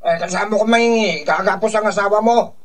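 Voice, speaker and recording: A man's voice: high voice, fairly even intonation, a little fast, natural voice. A man acting a brash, eager village watchman, casual and cocksure, in a radio drama. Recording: distant from mic, slight noise.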